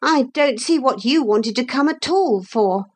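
A young storybook boy, voiced by a woman, earnest and blunt. The pitch sits high and moves around a lot. He sounds plain-spoken and easily indignant. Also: sing-song, measured pace, a little mellow. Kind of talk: storybook character voice.

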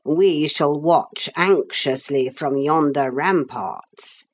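A woman's voice: low-pitched, slow pace, slight background noise. A woman voicing a solemn, formal male storybook leader with cold, pompous dignity. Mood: formal, measured. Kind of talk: storybook character voice.

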